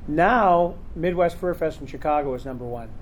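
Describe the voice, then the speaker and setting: A man's voice: a little high, normal speed, lo-fi sound, slight background noise. Chatty man hosting a convention panel. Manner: rambling, chatty, full of fillers. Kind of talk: casual panel talk. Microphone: recorder in the room.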